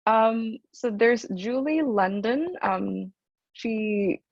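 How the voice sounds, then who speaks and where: A woman with a deep, rich alto speaks hesitantly on a video call, pausing on "um" as she answers. The sound is dry and a little dull, like laptop call audio. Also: animated, creaky, no echo. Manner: soft-spoken, hesitant, many "um"s, laughs often. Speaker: singer, interview guest. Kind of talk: casual interview over video call.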